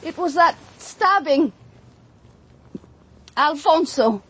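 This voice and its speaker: An actress playing a tough, haunted woman with a husky, breathy voice, speaking intimately in a radio drama. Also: high-pitched, expressive, extremely slow, a bit bright, slight background noise, full character voice. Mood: haunted, brooding. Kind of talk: broadcast radio drama acting.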